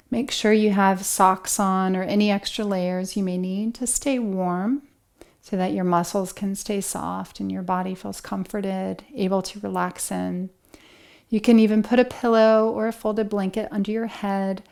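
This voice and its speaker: Very slow, creaky-voiced woman guiding relaxation. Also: medium pitch. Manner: gentle, soothing, unhurried with long pauses. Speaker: yoga and meditation instructor. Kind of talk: guided relaxation meditation.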